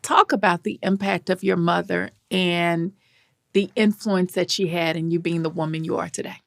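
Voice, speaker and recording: Podcast host: woman, low gravelly voice, dry booth sound, folksy enthusiasm. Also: steady tone, normal speed, a bit bright, no room sound. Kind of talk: interview hosting.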